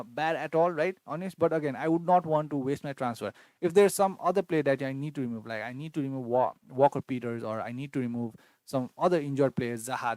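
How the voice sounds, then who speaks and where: A man's voice: fairly high pitch, darkish tone, dry recording. A man, a Newar speaker, hosting a live fantasy football Q&A and reading chat messages with many fillers as he thinks aloud. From Nepal. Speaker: fantasy football livestream host.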